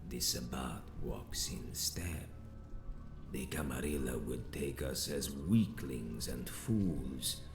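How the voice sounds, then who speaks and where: An actor playing a grandiose, cold and imperious vampire leader in a video game, speaking in a pompous, ornate way. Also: male voice, a bass voice, gravelly, reverberant, full character voice. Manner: pompous, ornate, deliberate delivery. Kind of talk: theatrical video game villain acting.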